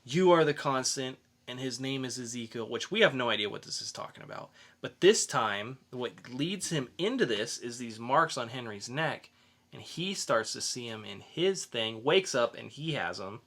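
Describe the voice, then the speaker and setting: A man's voice: mid-range voice, lively intonation, normal speed, fairly bright tone. A man hosting a podcast, casually reviewing in an informal, rambling way with some swearing. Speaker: podcast host and comic reviewer.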